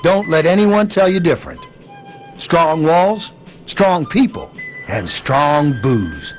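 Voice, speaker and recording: A man's voice: on the high side, sing-song, slow pace, soft background music. An actor playing a folksy wasteland trader and protective father figure in a video game, with an American accent and a drawling manner. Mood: proud, easygoing.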